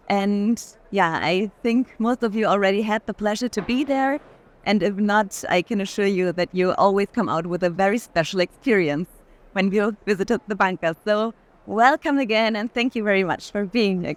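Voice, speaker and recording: A woman acts as host and curator on a walking tour. She speaks in an effusively welcoming manner, addressing listeners and her guest in a conversational style. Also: medium pitch.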